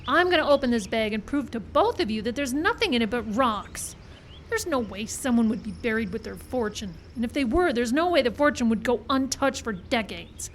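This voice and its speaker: A woman with a fairly high voice, acted for a live radio play, level-headed and insistent, with a sly ambitious streak. She reasons and presses for agreement over clear background noise. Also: expressive, fairly quick, close to an everyday voice. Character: level-headed woman screenwriter pleading with gunmen, slyly ambitious. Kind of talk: live old-time radio style acting.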